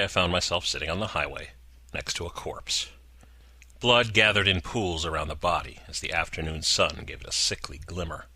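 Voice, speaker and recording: A man narrating a horror story in a slow, deliberate way with dramatic pauses. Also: low voice, pushed and bright, no room sound.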